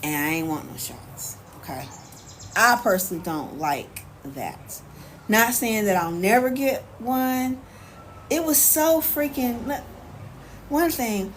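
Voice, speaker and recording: An American woman chatting casually on a livestream as host, answering viewers in an animated, sassy, colloquial way. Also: medium pitch. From United States. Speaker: live stream host. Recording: clear background noise, ambient sound.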